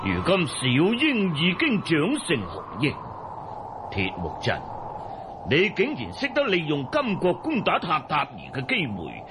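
A man's voice: a little high, wildly swooping pitch, unhurried, background noise. A man playing a boisterous, ruthless old Mongol khan in a Cantonese audio drama, talking in a hearty, patronising, theatrical way. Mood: hearty, scheming. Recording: music bed behind the voice.

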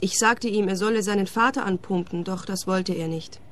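A woman's voice: mid-range voice, fairly quick, close to an everyday voice. An actress playing a young woman in a radio drama who answers the questions bluntly and defensively, in a matter-of-fact tone. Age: young adult. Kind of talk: radio play acting.

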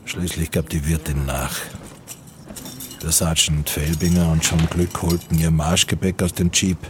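A man narrating a literary radio drama about postwar times in a measured way. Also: a bass voice, flat delivery, noisy background. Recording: music under the voice.

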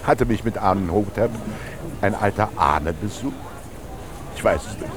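A man's voice: on the low side, measured pace, background noise, lightly acted. An actor playing a lonely, reflective man narrating his thoughts in a radio drama, with a dry literary delivery. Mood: pensive. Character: lonely young scribe, musing narrator wandering through rainy Munich. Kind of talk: introspective radio drama monologue.

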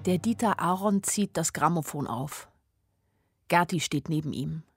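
A woman's voice: low voice, unhurried. An actress playing an anxious, observant young woman who narrates her own story wistfully in a literary radio drama. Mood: observant, melancholy. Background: music.

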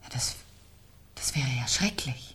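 An actress playing a cool, defensive widow under suspicion in a 1970s German radio crime play, haughty and guarded. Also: female voice, measured pace, a bit bright, in a whisper, close to an everyday voice. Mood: shocked whisper.

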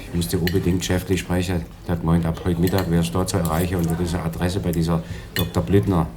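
An actor playing a cheeky, flirtatious escaped convict in a radio play, breezy and colloquial. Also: male voice, deep voice, level tone, fast, background noise, natural voice. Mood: casual, scheming. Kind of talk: 1980s German radio play acting.

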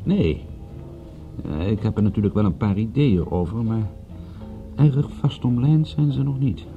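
An actor in a Dutch radio play voicing a hard-up private detective who is direct, dry and sardonic. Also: male voice, fairly low pitch, expressive, a little slow, vocal fry. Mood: measured, probing. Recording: muffled old radio recording, faint music.

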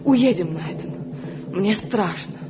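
An actress playing a frightened, devoted wife in a radio drama, imploring and emotional, full of foreboding. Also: female voice, mid-range voice, lively intonation, slow pace, over music. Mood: urgent, fearful. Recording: muffled, noisy old radio play recording.

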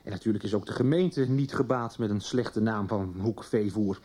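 A man's voice: on the low side, moderate pace, warm tone, lightly acted. A man acting in a 1990s radio soap as a cautious, placating small-town mayor.

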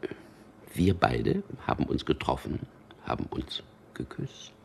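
A man's voice: extremely low pitch, huge pitch swings, unhurried, creaky, a little mellow, a little hiss or hum, heavily acted. An actor playing a cynical man in a radio drama, speaking with dry, intimate irony as he talks to his lover. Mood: wry. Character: Peter, wry cynical man telling mock news to lover. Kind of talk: German radio drama acting.

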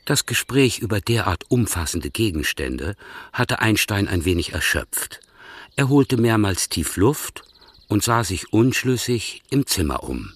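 Husky, low-toned radio play narrator. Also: male voice, big pitch swings, unhurried, no room sound, exaggerated voice. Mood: detached, composed. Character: detached, composed male storyteller.

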